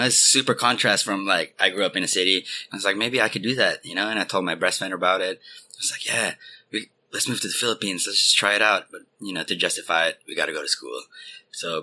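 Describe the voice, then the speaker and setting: A man in his thirties, from Hong Kong, chats as an interview guest. His voice sits low yet comes across bright and forceful. He tells his stories loosely, with plenty of fillers. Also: slight background noise. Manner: rambling, relaxed storytelling with many fillers. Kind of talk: casual interview chat.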